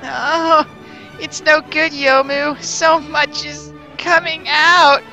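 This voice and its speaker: Woman acting a high-pitched, theatrical genteel mistress. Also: little pitch movement, takes it slowly, bright, forceful tone, soft background music, heavily acted, rough recording. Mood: distressed. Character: genteel, indulgent mistress, easily overwhelmed.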